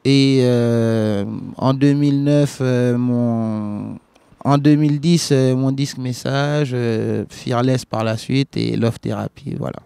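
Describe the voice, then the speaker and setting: Mellow-voiced man answering interview questions. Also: mid-range voice, steady tone, gravelly, clean tone, no echo. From West Africa, grew up in Liberia. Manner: halting, many restarts and fillers. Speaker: reggae singer, guest.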